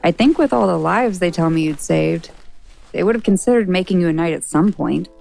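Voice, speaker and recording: An actress playing a nervous, earnest young novice sword student in an audio drama, speaking hesitantly. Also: female voice, on the low side, expressive, brisk, gravelly, darkish tone, faint music behind. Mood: hesitant, curious.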